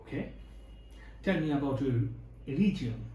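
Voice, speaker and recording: A man takes the examiner's role and lays out each part with slow, repetitive instructions. His voice sits mellow and low in brightness. The room gives it a clear echo, and faint ambient noise runs underneath. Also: animated. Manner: slow, instructive, repeating phrases. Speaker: IELTS examiner, teacher. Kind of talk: mock speaking test. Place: exam room indoors.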